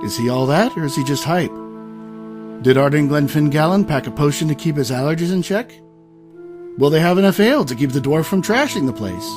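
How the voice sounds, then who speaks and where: Animated male announcer over music. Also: a little high, brisk. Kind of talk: scripted narration. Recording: music behind the voice.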